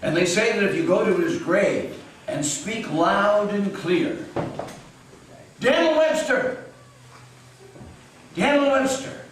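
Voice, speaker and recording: Folksy New England yarn-spinner male actor, unhurried and dramatic, higher-pitched, stage reading recorded from afar. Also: lively intonation. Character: folksy New England storyteller recalling a local legend. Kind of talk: theatrical folk-tale narration. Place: meeting house stage. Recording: echoey hall, distant mic, faint noise.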